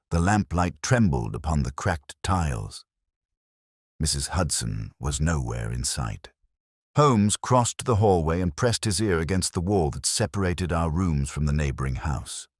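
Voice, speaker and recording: A man narrating a scripted detective story in a measured, atmospheric storytelling manner. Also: low voice, no room sound, clean studio sound.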